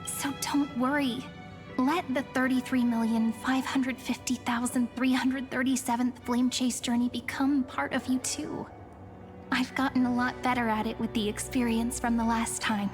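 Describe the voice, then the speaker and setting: A woman voicing a gentle, devoted young game heroine, earnest and reassuring. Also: a little high, level tone, moderate pace, lightly acted. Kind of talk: video game voice acting. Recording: game dialogue under clear music.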